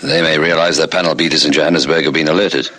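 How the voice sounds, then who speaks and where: A measured, authoritative senior police officer. The voice is deep yet sharp-edged, clipped and deliberate, in old radio drama style. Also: male voice, fairly even intonation, full character voice. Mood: measured, official.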